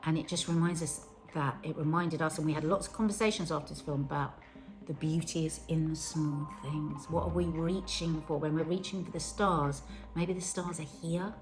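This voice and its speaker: A woman's voice: deep voice, fairly even intonation, normal speed, music in the background, poor audio. A woman talks in a reflective, wandering way in a casual film chat. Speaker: podcast co-host.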